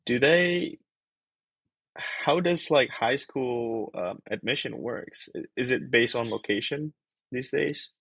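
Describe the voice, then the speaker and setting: Gravelly, husky young man on a video call. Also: medium pitch, takes it slowly, fairly bright tone, dry recording. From Indonesia. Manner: rambling, thinks aloud with many fillers and restarts. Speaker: guest, PhD researcher. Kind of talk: casual video-call interview. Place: small bedroom-like room.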